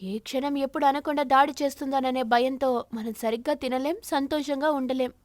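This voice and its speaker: A woman's voice: high voice, fairly even intonation, moderate pace, dry recording, close to an everyday voice. A woman voicing a firm, sensible sparrow who leads a bird flock in a cartoon, earnest and instructing. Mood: resolute.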